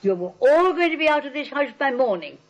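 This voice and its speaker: A woman's voice: high voice, big pitch swings, brisk. An older woman playing a genteel, stubborn, dotty old aunt in old-time radio comedy, prim and matter-of-fact. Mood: firm, indignant. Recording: dull vintage radio recording.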